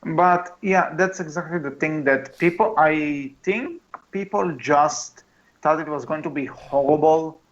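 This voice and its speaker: A man's voice: fairly high pitch, a little slow. A man hosting a casual film discussion podcast, talking in a hesitant, conversational way as he thinks aloud. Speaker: podcast host. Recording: dull lo-fi voice recording.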